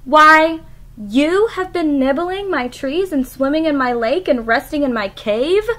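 A woman voicing a big, bossy, quick-tempered female brontosaurus who shouts her lines in a storybook reading. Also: high-pitched, expressive. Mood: angry. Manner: stomping, shouting outbursts.

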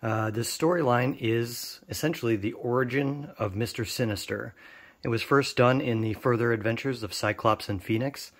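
A man in his thirties, a comic art collector, giving a casual and relaxed spoken introduction with some fillers. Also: medium pitch, normal speed. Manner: relaxed, plain talk with fillers.

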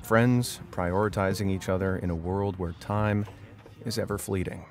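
A man narrating a short film in a calm, wistful, measured way, reading a scripted reflective voiceover. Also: sits low.

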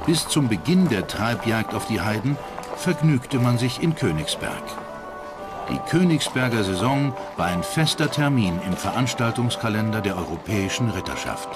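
A man's voice: fairly low pitch, animated, normal speed. A man narrating a scripted historical documentary. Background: music.

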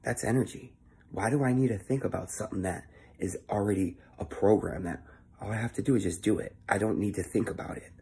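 A young man giving a casual motivational monologue into his phone at home, keeping his voice low and swearing freely. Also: fairly quick, dark tone, slight background noise. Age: young adult. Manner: talking low and swearing often, earnest. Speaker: vlogger. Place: indoor room at home. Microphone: phone held close at arm's length.

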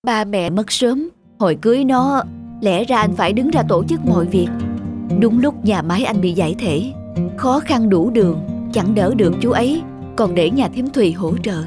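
A man's voice: sits high, animated, moderate pace, over loud music. A man voicing a considerate, patient husband in a cartoon, earnest and placating. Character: mild, earnest, considerate husband and older brother.

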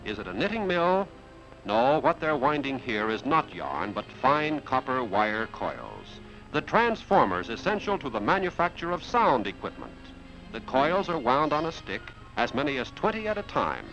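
An American man narrates in the scripted style of a 1950s industrial film. His pitch moves in lively swings as he explains things like an announcer. The sound is a dull, band-limited vintage film track with faint music underneath. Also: normal speed, gravelly. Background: background music.

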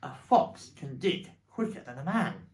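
A storybook reader voicing a brave, determined father fox in an animated read-aloud. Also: mid-range voice, measured pace, voice pushed up. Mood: excited, commanding.

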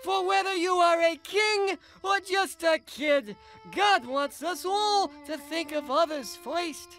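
Slow, solemn old prophet. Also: male voice, very high voice, sharp tone, full character voice. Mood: reproving. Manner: solemn, emphatic, deliberate. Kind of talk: cartoon parable acting. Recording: music under the voice. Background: music.

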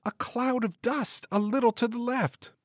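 Guy doing a gentle watchful sister in a fairy tale, high plaintive voice. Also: fairly even intonation, unhurried, dry recording, clean studio sound. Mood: watchful, regretful. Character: wife's gentle watchful sister on a tower lookout.

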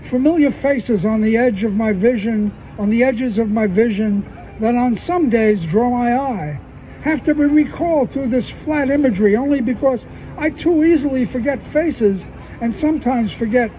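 High-pitched, mellow-voiced man reading poetry. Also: normal speed, a little hiss or hum, rough recording. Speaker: poet. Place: outdoor stage under trees at a festival. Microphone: stand mic on stage, filmed by phone.

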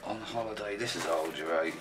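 A man exploring an old house, making quiet offhand remarks. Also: low voice, a little fast. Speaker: urban explorer. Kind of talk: casual exploring chat. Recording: loud music underneath. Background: loud background music.

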